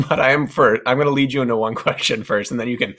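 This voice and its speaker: A man's voice: medium pitch, fast talker, sharp tone. A man from the American Midwest, a running coach and podcast co-host, answering interview questions in a playful, self-mocking way. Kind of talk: casual interview chat.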